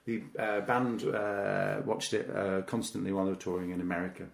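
A man's voice: low voice, steady tone, brisk, vocal fry. A British man co-hosting a podcast, chatting casually in a hesitant, mild-mannered way. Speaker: podcast co-host. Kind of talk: casual chat. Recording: roomy echo.

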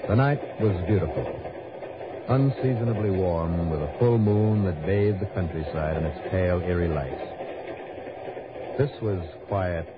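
An actor playing a cool, wry private investigator with a dry, easygoing manner in an old radio drama. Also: male voice, low-pitched, heavy background noise. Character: cool, wry male private investigator narrating his case. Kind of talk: 1950s-60s radio drama narration and acting. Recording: dull old radio recording, music behind.

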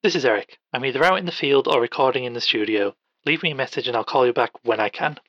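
A man's voice: medium pitch, quick pace, a bit bright, dry recording, natural voice. A man playing an earnest, informal field reporter leaving chatty phone messages in an audio drama. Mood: businesslike. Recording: dull, dry, lo-fi phone message.

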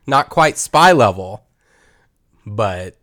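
A man's voice: fairly low pitch, lively intonation, extremely slow, pushed and bright, dry recording, clean studio sound. A man chatting casually as a podcast co-host, playful and mock-indignant. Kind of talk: casual chat, reacting.

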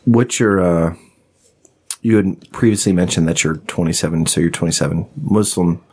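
Low, husky male host; jumps in, jokes, keeps things moving. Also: normal speed, a little mellow. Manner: eager, interrupting, joking. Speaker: podcast host. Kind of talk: casual chat.

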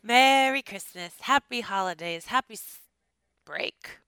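A woman co-hosting a casual podcast chat, her voice slightly scratchy and tired, talking in a chatty, animated way. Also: on the high side, clean tone, no room sound. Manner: chatty, animated, quick laughs. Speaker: podcast co-host.

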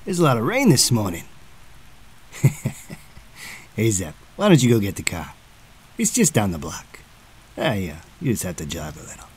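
Man playing a husky, cocky senior cop. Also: lowish voice, sing-song, normal speed, long pauses. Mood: teasing, bossy. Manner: teasing, swaggering. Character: cocky, cynical, wisecracking senior police officer. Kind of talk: noir audio drama acting.

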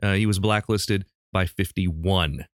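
A man's voice: low-pitched, takes it slowly, dry recording, studio quality. An American man in his forties hosting a podcast, chatting and telling stories casually in a rambling, self-correcting way. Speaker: podcast host and quizmaster. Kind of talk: casual chat and storytelling.

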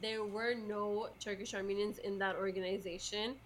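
A woman's voice: mid-range voice, level tone, moderate pace, rough recording. A woman co-hosting a podcast, chatting casually and rambling with fillers and laughs. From United States. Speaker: podcast co-host. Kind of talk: casual chat.

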